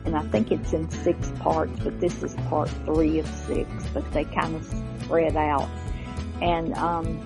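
A woman introduces programmes in a loose, chatty style. Her voice is low and gravelly with fry. Music plays clearly behind her. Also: flat delivery, unhurried. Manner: informal, loose and rambling. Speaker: radio show host.

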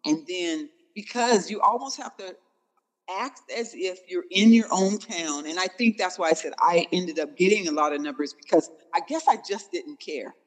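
A woman in her forties hosting a dating talk, frank and slangy, coaching with animated, blunt questions. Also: fairly low pitch, moderate pace, in a large hall, rough recording. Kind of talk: casual chat.

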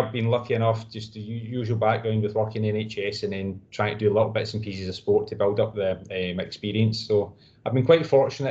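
A man's voice: lowish voice, darkish tone, lo-fi sound. A Scottish man in his thirties answering interview questions in a modest, rambling way. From Scotland. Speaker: guest physiotherapist.

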